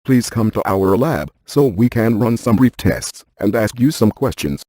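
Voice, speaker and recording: An unflappable scientist game hero, low and dark in voice with a slightly husky edge. He rattles off technical detail in a precise, clinical deadpan, recorded close and dry. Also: unhurried, no room sound. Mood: clinical, matter-of-fact. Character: brainy, unflappable male scientist who hunts ghosts. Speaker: video game character, ghost-hunting scientist. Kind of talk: scripted game voice lines.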